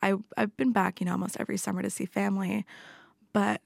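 A woman's voice: mid-range voice, level tone, fast talker, gravelly, dry recording. A woman in her twenties from Canada, a guest chatting in a radio studio in a playful, self-deprecating way. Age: 20s. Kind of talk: casual chat.

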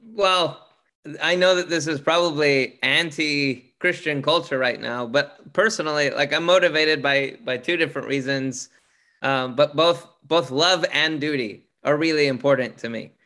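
A man with a loud, booming voice, speaking as a guest in a casual interview, earnest, with many restarts. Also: moderate pace. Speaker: podcast guest, author.

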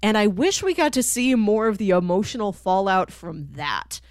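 Woman podcast cohost, normal pitch, chatty explainer who slips into little quotes, dry room. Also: very animated, normal speed, clean tone, dry recording. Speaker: podcast co-host. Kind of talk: casual conversational TV show discussion.

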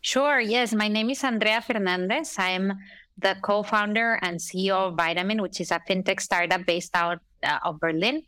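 A woman's voice: mid-range voice, normal speed, bright, forceful tone, clear, resonant tone, no echo, studio quality. A middle-aged woman from Costa Rica, a startup founder, answering interview questions thoughtfully. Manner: thoughtful, uses fillers and restarts. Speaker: startup founder and CEO, guest. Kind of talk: interview conversation.

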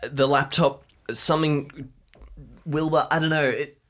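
Shaken local man in an adventure audio drama, acted by a man: anxious, breathless, halting delivery. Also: fairly high pitch, fairly even intonation, fairly bright tone. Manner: halting, breathless, fragmented speech. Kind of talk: improvised audio drama acting.

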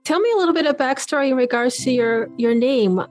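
A woman's voice: high-pitched, expressive, fast, darkish tone, no echo, faint music behind. A woman hosting a podcast, reading the guest introduction and asking questions in an upbeat, encouraging way. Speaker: podcast host. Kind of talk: podcast interview.

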